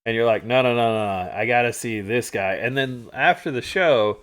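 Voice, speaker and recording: A man co-hosting a livestream, chatting casually through stories and photos from a trip, animated when he retells moments. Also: mid-range voice, moderate pace. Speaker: livestream co-host. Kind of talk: casual storytelling chat over travel photos.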